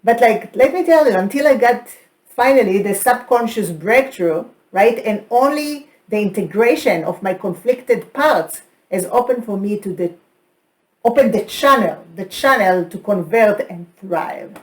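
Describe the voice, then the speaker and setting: A woman's voice: medium pitch, lively intonation, unhurried, low-quality recording. A woman with an Israeli accent co-presenting a training session, who laughs often and keeps checking in with "right?". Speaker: co-host and trainer. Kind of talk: presentation chat.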